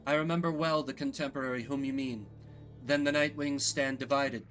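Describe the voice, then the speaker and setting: A man's voice: mid-range voice, steady tone, a little fast, fairly bright tone, close to an everyday voice. A man voicing a stern, formal woman who guards a gate in a fantasy game, with a commanding manner.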